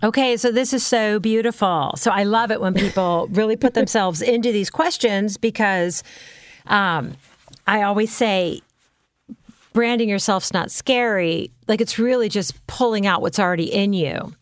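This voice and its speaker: A woman's voice: medium pitch, moderate pace, no echo. A woman brand consultant coaching in a casual chat, talking freely with frequent ums and you-knows.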